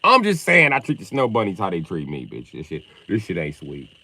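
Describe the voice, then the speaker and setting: A man chatting casually on a livestream, loud and profane, with an animated delivery. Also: low-pitched, a little fast.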